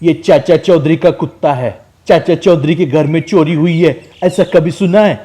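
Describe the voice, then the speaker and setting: Guy as a boastful crook from a comic story, brash, slightly high voice. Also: moderate pace, dark tone, close to an everyday voice. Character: boastful, scheming dog thief hawking his loot.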